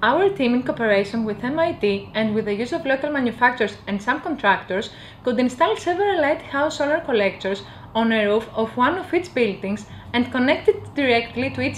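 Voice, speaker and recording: High-voiced young woman pitching. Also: slight background noise, low-quality recording. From Greece. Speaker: company presenter. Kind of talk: scripted promotional pitch. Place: indoor office with bookshelves.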